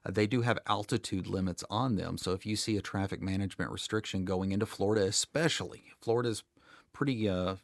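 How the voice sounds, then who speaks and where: A man's voice: fairly low pitch, fairly quick, vocal fry, no room sound. An American man speaking conversationally as an expert podcast guest, in an emphatic, plain-spoken way with dry humor. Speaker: guest air traffic controller.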